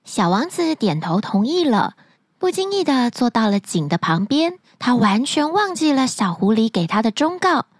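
A woman narrating a fairy tale as a bedtime story, gently and expressively, close to the mic. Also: on the high side, big pitch swings, moderate pace, dry recording.

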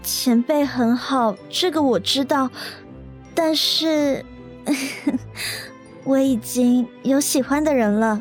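A woman's voice: high-pitched, slow, pauses often, faint music behind. A woman acting an eager, bashful young office employee in a radio drama. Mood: shy. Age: young adult.

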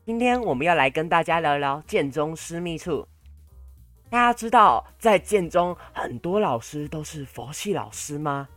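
A man's voice: fairly high pitch, animated, normal speed, fairly bright tone, dry recording. A young male podcast co-host with a Taiwanese Mandarin accent chats and banters casually. His intonation swings widely and playfully, with a theatrical streak. The sound is dry, with faint music underneath.

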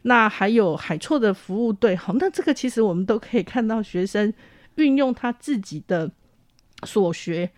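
A woman's voice: medium pitch, expressive, moderate pace, no echo, clean studio sound. A woman with a Taiwanese Mandarin accent explaining things off the cuff in an interview, hesitant and wordy, as university staff.

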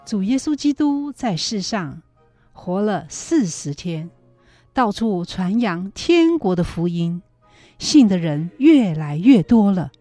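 Radio drama narrator, played by a woman: measured, reverent, lively intonation, warm tone, light music underneath. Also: mid-range voice. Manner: measured, storytelling. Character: reverent storyteller narrating the Gospel.

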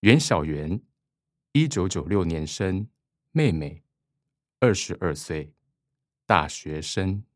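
A man's voice: low-pitched, lively intonation, slow, pauses often, no room sound, clean studio sound. A man reading scripted narration and stage directions for a radio drama.

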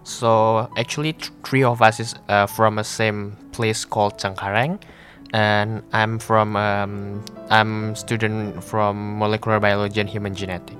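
A man's voice: fairly low pitch, level tone, measured pace, fairly bright tone, soft background music. A young man with an Indonesian accent, a student guest speaking somewhat hesitantly in a studio interview. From Jakarta, Indonesia. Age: young adult.

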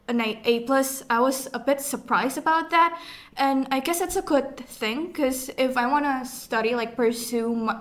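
Young woman from Indonesia, student co-host, fairly high voice, relaxed and loose delivery. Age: young adult. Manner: relaxed, uses lots of "like". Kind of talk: casual podcast chat.

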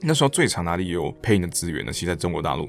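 A man's voice: deep voice, sing-song, racing, creaky, soft background music. A man with a Taiwanese Mandarin accent, casually telling stories as an interview guest. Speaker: interview guest, theatre director and voice actor. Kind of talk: casual conversational storytelling.

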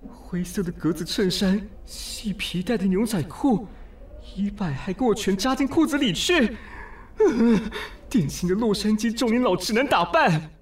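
Melodramatic, fussy city snob, wildly swooping. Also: male voice, sits high, expressive, normal speed, a little hiss or hum. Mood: musing, smitten. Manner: melodramatic, sarcastic, swinging from muttering to shrieking. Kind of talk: radio drama acting with inner monologue.

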